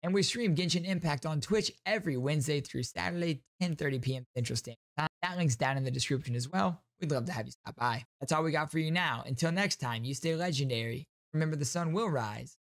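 A man's voice: fairly high pitch, quick pace, hardly any pauses, no room sound. A young man hosting a gaming channel with enthusiastic commentary, speaking into a close microphone at home. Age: young adult. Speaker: YouTube gaming host. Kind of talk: upbeat game reaction commentary. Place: small home streaming room, indoors. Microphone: close studio microphone on a boom arm.

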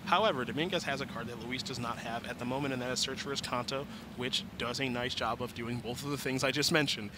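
A man's voice: medium pitch, fast, hardly any pauses, noisy background. A man giving methodical, explanatory match commentary into a headset as an esports analyst. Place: broadcast desk in an event arena. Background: music.

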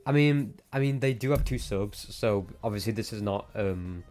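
A man's voice: on the low side, normal speed, a little mellow. A young man giving casual, chatty commentary on a team game scrim.

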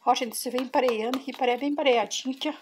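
Chatty high-voiced woman cooking at home. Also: steady tone, very fast, darkish tone, slight background noise. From Brazil. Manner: chatty, keeps saying "gente" to viewers. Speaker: cooking vlogger. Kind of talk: casual cooking demonstration. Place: home kitchen on a farm.

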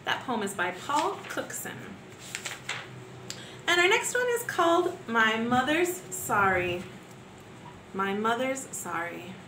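A woman's voice: a little high, slow, long pauses, noisy background. Young woman teacher reading kids' poems on her webcam at home, bouncy sing-song delivery, kinda roomy echo. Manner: expressive sing-song read-aloud, gentle teacherly asides. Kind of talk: reading poems aloud to children. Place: living room at home. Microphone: webcam at close range. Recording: echoey room with faint ambience.

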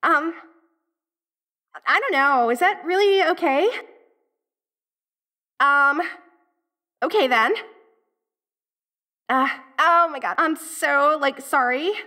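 A woman's voice: sits high, takes it slowly, pauses often, bright, forceful tone. A woman playing a dorky tsundere ghost girl from the eighties in an audio roleplay, with an American valley-girl accent and a gushing, hesitant, slangy manner. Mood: shy, hesitant. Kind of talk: audio roleplay acting, speaking directly to the listener.

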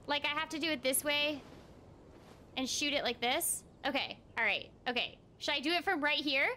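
A young woman chatting casually and brightly animated while livestreaming a game. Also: high voice, normal speed, a little hiss or hum. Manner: bubbly, animated, giggly. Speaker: game streamer. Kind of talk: casual livestream gameplay chat. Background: game music.

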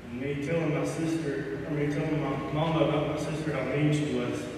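A man in his thirties delivers a eulogy from a church lectern, his voice flat and halting with emotion. The sound is heavily echoey with a long reverb tail, picked up from far off with faint room ambience behind. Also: fairly high pitch, noisy background. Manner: halting and emotional, trailing off at line ends. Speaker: son giving a eulogy. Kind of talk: reading a memorial poem, then personal eulogy. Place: church sanctuary, speaking at a lectern. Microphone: lectern microphone, recorded from a distant camera.

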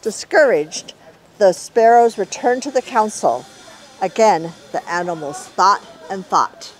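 A middle-aged woman reading a children's story aloud in an expressive storyteller's way. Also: medium pitch, a little slow, poor audio.

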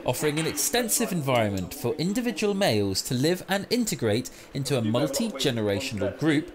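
Lively male news voice-over. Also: medium pitch, fairly quick, crowd noise. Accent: English. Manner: lively read narration. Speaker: news reporter.

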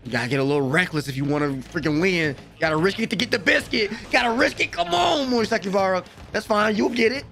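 A young man doing excited live reaction commentary, slangy and exclamatory, repeating words, on a close mic in a small room at home. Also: high voice, fast, hardly any pauses, soft background music. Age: young adult. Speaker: anime reaction host. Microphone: close desk microphone with headset on.

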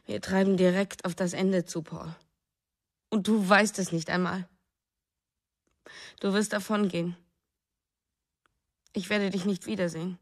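An actress in a radio drama playing a bitter, fearful, demanding wife with an accusing, emphatic manner. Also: female voice, mid-range voice, steady tone, unhurried, long pauses. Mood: quiet, fearful. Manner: emphatic, accusing, short clipped phrases. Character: embittered, anxious wife, jealous, demanding and fearful.

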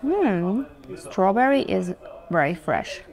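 A young woman from Austria, chatting casually to the camera as a vlogger, relaxed and talkative. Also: medium pitch, takes it slowly. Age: young adult.

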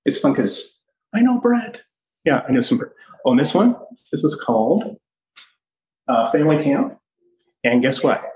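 A man in his fifties giving an enthusiastic, casual walking tour over a phone video call, speaking in a halting way. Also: a little high, very animated, darkish tone, breathy edge, low-quality recording. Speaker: podcast host giving a studio tour. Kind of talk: casual chat, walk-through tour. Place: indoors, walking through studio offices and hallways. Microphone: phone held at arm's length on video call.

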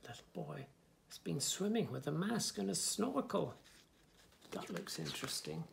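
A man in his fifties reading a picture book aloud to young children, with expressive, sing-song delivery and soft asides. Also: a little high, measured pace, creaky, background noise. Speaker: children's story reader, host. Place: small furnished room indoors, bookshelves.

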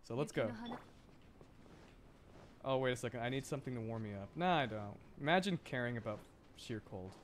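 A man's voice: medium pitch, brisk, long pauses. A young man talks in a mid-range voice, as a streamer does while playing. His delivery is playful and rambling, very casual. A faint layer of noise sits behind the voice. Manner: playful, rambling, laughs often. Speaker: livestreamer. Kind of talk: casual gaming livestream chat.

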